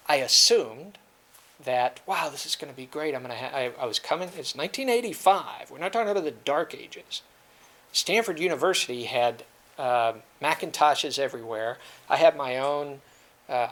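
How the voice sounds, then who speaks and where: A man's voice: mid-range voice, vocal fry, a bit bright. A middle-aged man giving a seminar talk and taking audience questions, joking and self-deprecating, with many fillers and restarts. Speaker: guest speaker at a security seminar. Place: lecture room.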